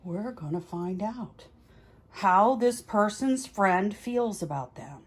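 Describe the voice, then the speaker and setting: A woman's voice: medium pitch, expressive, takes it slowly, breathy edge. A woman talking casually as a tarot reader, explaining things informally and thinking aloud as she goes. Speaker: tarot reader hosting a practice series. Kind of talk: casual explanatory vlog talk.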